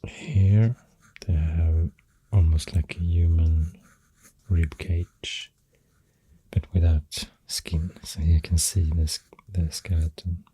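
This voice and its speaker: A soft-spoken ASMR host with a Swedish accent, half-whispering in a breathy voice and hesitantly rambling up close to the microphone. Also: deep voice, flat delivery, no room sound. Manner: hushed, hesitant, pausing mid-thought. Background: pencil writing sounds.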